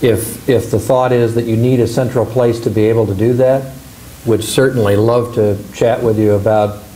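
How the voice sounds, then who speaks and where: A man on a conference panel offering, in a measured way, to work together. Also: mid-range voice, steady tone, dark tone, poor audio. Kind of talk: conference remarks.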